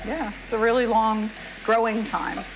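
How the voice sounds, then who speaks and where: A woman's voice: fairly high pitch, normal speed, gravelly, heavy background noise. A middle-aged woman hosting a cooking show, explaining each step in a home kitchen. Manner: explanatory, friendly instruction. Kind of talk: cooking demonstration. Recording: music bed underneath.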